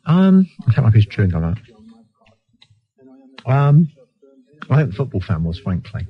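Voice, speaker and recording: A man's voice: mid-range voice, very animated, moderate pace, slightly rough. An English man hosting a live radio phone-in, bantering and telling anecdotes in a cheeky, teasing, sardonic way. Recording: dull lo-fi radio broadcast recording.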